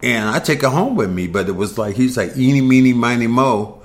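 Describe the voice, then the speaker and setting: A man's voice: mid-range voice, brisk. An older man, a podcast co-host, telling childhood memories in casual conversation and acting out the people he quotes. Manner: storytelling, animated when quoting others.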